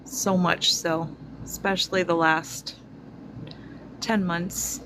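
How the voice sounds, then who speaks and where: Slow-spoken woman in her forties. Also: lowish voice, flat delivery, background noise. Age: 40s. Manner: slow, reflective, many fillers. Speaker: spiritual vlogger. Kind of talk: personal testimony to viewers.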